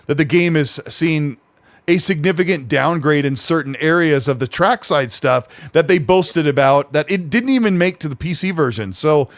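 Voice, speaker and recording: A man's voice: on the high side, expressive, moderate pace, dry recording. A man co-hosting a casual gaming podcast, rambling with fillers and restarts. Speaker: podcast co-host.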